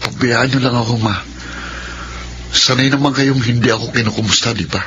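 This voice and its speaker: Actor as sullen young Filipino son, noisy track. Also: male voice, medium pitch, fairly even intonation, fairly quick, highs cut off, close to an everyday voice. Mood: bitter. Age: young adult. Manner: brooding, bitter. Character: sullen, resentful young son of a rich family. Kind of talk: Filipino radio drama acting. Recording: noisy radio drama track.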